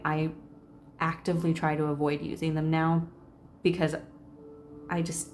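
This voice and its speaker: Sassy woman with a lowish voice. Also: slight background noise. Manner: sassy, blunt, swearing, expressive. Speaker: video creator reacting to a game. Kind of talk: casual commentary with dramatic read-aloud.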